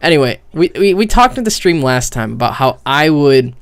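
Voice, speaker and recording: A man's voice: fairly high pitch, very animated, moderate pace, smooth. Rambling man commentating on a game stream. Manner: rambling, hesitant, thinking out loud; casual swearing.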